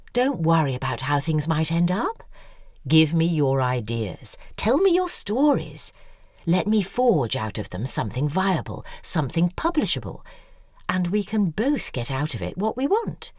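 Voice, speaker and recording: An actress in an audio drama playing a self-obsessed, exuberant novelist who speaks in an effusive, articulate, theatrical way. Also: female voice, low-pitched, no room sound, studio quality, exaggerated voice. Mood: probing, urging.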